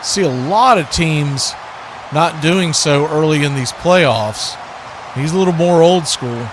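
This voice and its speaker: A man's voice: fairly high pitch, takes it slowly, background noise. A man calling live football play-by-play as host, in clipped, telegraphic phrases. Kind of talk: live sports play-by-play commentary.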